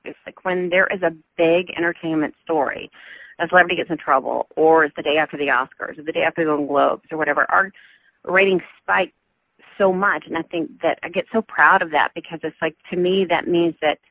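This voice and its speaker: A woman from the American South with a softened Southern accent, an entertainment reporter chatting as a guest in a radio interview, upbeat and full of fillers. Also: fairly low pitch, fairly quick, no room sound, highs cut off. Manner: chatty, upbeat, laughs often, many fillers.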